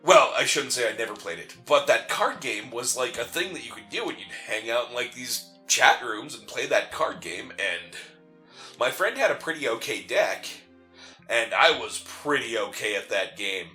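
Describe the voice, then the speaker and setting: A man chatting casually as co-host of a video game playthrough, telling stories in a rambling, animated way. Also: medium pitch, normal speed, soft background music. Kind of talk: casual chat over gameplay.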